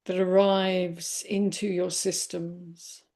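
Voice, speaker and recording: A woman leading a guided body scan meditation in a gentle, unhurried manner with long pauses. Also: lowish voice, level tone. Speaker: meditation teacher.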